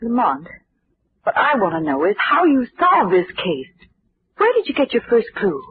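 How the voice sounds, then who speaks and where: An actress playing a poised, inquisitive society woman with polite, animated 1940s radio delivery. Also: fairly high pitch, normal speed, husky, full character voice. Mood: curious. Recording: thin, narrow-band old radio recording.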